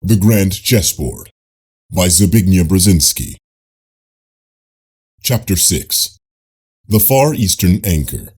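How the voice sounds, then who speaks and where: A man reading a scripted nonfiction audiobook in a measured, formal manner. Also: low voice, sing-song, darkish tone, breathy edge. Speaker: audiobook narrator.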